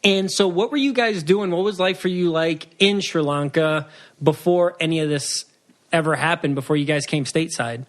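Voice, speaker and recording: A man's voice: a little high, normal speed. A man from Puerto Rico co-hosting a podcast, asking friendly, rambling questions and laughing along with his guest. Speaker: podcast co-host. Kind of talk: casual interview.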